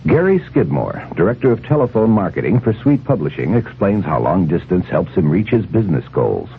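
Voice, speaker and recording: A man's voice: deep voice, very animated, fairly quick. A man reading scripted narration as the announcer of a vintage radio commercial. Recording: narrow, lightly noisy vintage radio ad.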